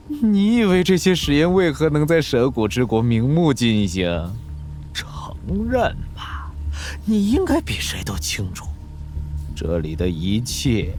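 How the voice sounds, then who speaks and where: 一名男演员在动画剧中饰演阴险残忍的疯狂科学家反派，说话夸张拖腔，带着戏谑的嘲弄。. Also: male voice, fairly high pitch, darkish tone, a little hiss or hum, full character voice. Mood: gloating. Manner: theatrical, drawn-out taunting with cackles. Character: sinister sadistic mad scientist villain, gleeful and mocking. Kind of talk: animated audio drama acting.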